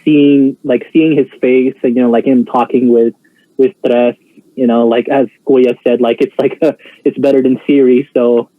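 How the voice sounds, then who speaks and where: A man's voice: medium pitch, flat delivery, measured pace, no room sound. A man chatting casually in a reaction discussion, hesitant, with many fillers and restarts. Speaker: co-host reacting to a show. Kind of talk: casual chat. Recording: dry, tinny phone-like sound.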